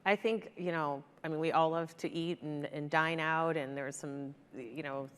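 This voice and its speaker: A woman's voice: low-pitched, fairly even intonation, normal speed, fairly bright tone, smooth. A middle-aged American woman interviewing conversationally as a panel moderator, wearing a mic on a large conference stage. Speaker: panel moderator, TV news anchor. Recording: echoey auditorium stage, long reverb.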